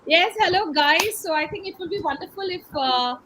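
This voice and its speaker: A woman's voice: very high-pitched, animated, moderate pace, very noisy. A woman with an Indian accent hosting an online launch event over a video call. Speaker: host.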